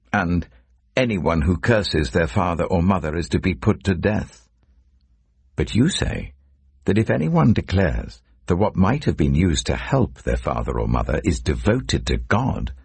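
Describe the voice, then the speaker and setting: Guy doing a solemn commanding holy teacher, super low gravelly voice, deliberate, audio bible style. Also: no echo, heavily acted. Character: solemn, authoritative male religious teacher in scripture.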